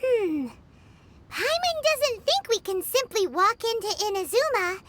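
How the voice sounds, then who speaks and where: A woman voicing a tiny, bubbly, childlike fairy companion with a squeaky, perky delivery in a video game. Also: very animated, a little slow, a bit bright, slight background noise, full character voice. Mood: thoughtful. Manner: perky, speaks of self in third person. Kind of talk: video game character voice acting. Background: tinkling game music.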